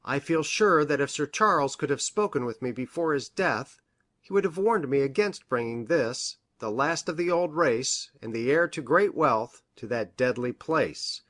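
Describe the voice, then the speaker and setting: An earnest Victorian country doctor, acted by a man, seeking counsel in a detective story. He talks in a formal, deliberate way at a middling pitch, with an anxious gravity. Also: lively intonation, fairly bright tone, lightly acted. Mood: earnest, worried. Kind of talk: dramatised reading of a Victorian detective novel.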